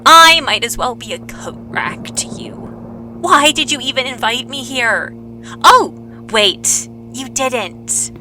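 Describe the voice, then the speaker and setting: A woman's voice: high-pitched, animated, slow, pushed and bright, slight background noise, exaggerated voice, low-quality recording. A woman playing a young adult who is bitter and accusing, feeling left out, in an audio drama. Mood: bitter, tearful. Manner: accusing, emotional, self-pitying. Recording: music behind the voice.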